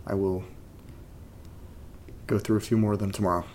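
A man playing an uneasy young man who reads strange letters aloud in a horror audio drama, halting and brooding. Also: little pitch movement, a little mellow, lightly acted. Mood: distracted, puzzled. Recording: faint low rumbling noise.

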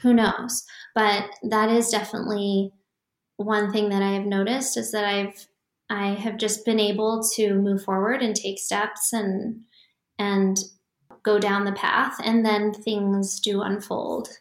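A woman who founded a startup answers podcast interview questions in a calm, even-keeled, reflective way. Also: medium pitch, a little slow, echoey room.

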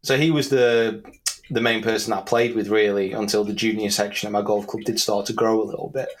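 A man's voice: on the low side, flat delivery, brisk, slight background noise, poor audio. A man in his thirties with an English accent, chatting casually and self-deprecatingly as an interview guest. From England. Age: 30s. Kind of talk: casual conversational chat.

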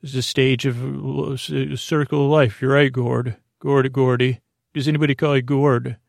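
A man hosting a bedtime podcast in a rambling monologue, halting and hesitant, often trailing off, with a gravelly voice. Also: mid-range voice, little pitch movement, no room sound, studio quality. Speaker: podcast host.

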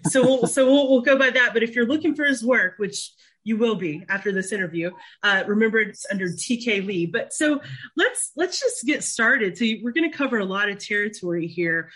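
Breathy woman hosting a radio interview. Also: a little high, fairly quick. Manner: attentive interviewer, soft affirming murmurs. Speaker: radio show host.

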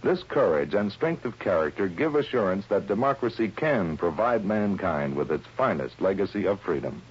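A man's voice: sits low, lively intonation, moderate pace, background noise. A man giving an earnest, declamatory scripted narration on an old radio broadcast. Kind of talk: solemn scripted public-service narration. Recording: dull vintage radio broadcast recording.

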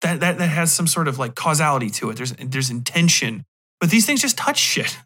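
A man's voice: on the high side, big pitch swings, brisk, pushed and bright. A man hosting a podcast, delivering a casual, sweary rant in a sarcastic, exasperated and emphatic manner. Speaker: podcast host. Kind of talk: casual profane movie rant.

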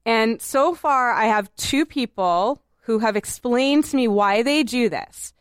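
Brief: woman podcast host, smooth clear voice, animated and laughing, dry close mic. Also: a little high, unhurried, no room sound. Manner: animated, laughs often, exaggerated. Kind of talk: casual podcast chat.

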